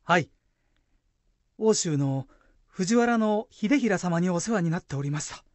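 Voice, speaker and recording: A woman's voice: fairly low pitch, expressive, a little slow, no echo. A woman acting in a Japanese radio drama as an earnest, devoted young samurai lord who uses formal, archaic speech.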